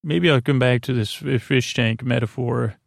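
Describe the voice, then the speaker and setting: A man hosting a bedtime podcast in a rambling, halting casual monologue, repeating himself and drifting into tangents with a gravelly voice. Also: medium pitch, steady tone, dry recording, clean studio sound. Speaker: podcast host.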